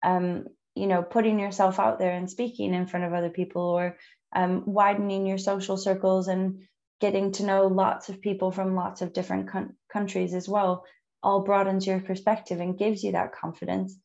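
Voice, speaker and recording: A woman who is a native English speaker, hosting a conversational interview in a measured, encouraging way with frequent ums. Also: medium pitch, level tone, creaky, a little mellow, muffled recording. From outside the UK. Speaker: podcast host.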